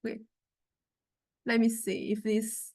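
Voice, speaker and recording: Woman lecturer, slightly high voice, informal software tutorial, halting, repeats word starts, checks in often. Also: completely flat delivery. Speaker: music technology lecturer.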